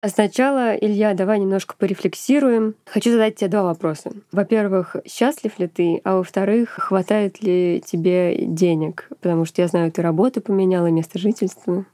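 A woman's voice: mid-range voice, fairly even intonation, moderate pace, few pauses, mellow, no echo. A woman in her thirties with a nasal voice stuffed up by a cold, chatting casually and ironically as a podcast host. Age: 30s. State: congested with a lingering cold.

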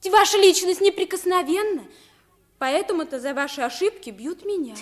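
A woman's voice: extremely high pitch, animated, moderate pace. A woman playing a timid, humble young servant boy who pleads earnestly and is eager to please, in a radio drama. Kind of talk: 1950s Russian radio play acting.

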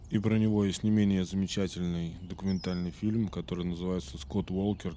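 A man with a low, dark, mellow voice hosts his own podcast while a little under the weather, clearing his throat now and then. He tells his story loosely, full of fillers and self-corrections. Faint background noise sits under his voice. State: slightly sick, occasional throat clearing. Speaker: podcast host. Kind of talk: casual storytelling monologue.

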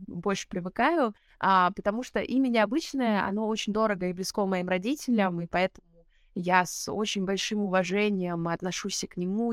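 A woman's voice: mid-range voice, no echo, clean studio sound. A woman in her twenties, a guest in an interview, talking reflectively with many fillers and restarts. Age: 20s. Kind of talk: casual interview talk.

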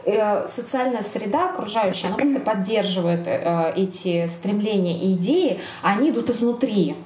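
A woman's voice: mid-range voice, animated, normal speed, a little mellow. A woman co-hosting a casual discussion, explaining things in a hesitant way as she searches for words. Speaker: podcast co-host. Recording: roomy, echoey, faint background noise.

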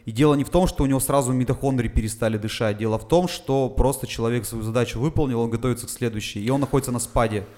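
A man's voice: mid-range voice, very fast, hardly any pauses, warm tone. A man, a fitness coach, talking casually on a podcast, explaining things step by step with frequent "uh" fillers. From Urals, Russia. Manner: methodical explainer with filler sounds.

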